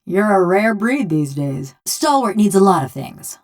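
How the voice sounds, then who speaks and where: A woman's voice: medium pitch, big pitch swings, a little slow, darkish tone. A woman voicing a game character, a vengeful, wry bronze construct with a village woman's soul, speaking in a folksy, rustic, sardonic drawl. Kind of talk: video game character dialogue.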